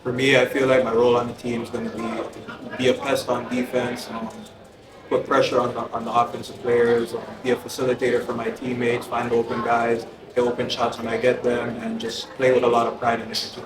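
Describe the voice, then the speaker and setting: Twenties male from Canada, monotone, far off, crowd behind. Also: medium pitch, a little fast. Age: 20s. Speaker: national team basketball player. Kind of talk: answering press questions. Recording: roomy, crowd noise, distant mic.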